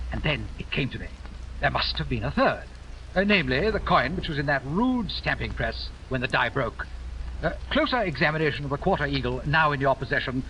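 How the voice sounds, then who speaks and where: A man's voice: a little high, pushed and bright, exaggerated voice, rough recording. A man playing a florid, pompous male coin dealer in a vintage radio drama, talking in hesitant, flowery phrases over hissy old broadcast sound. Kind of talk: 1940s radio acting.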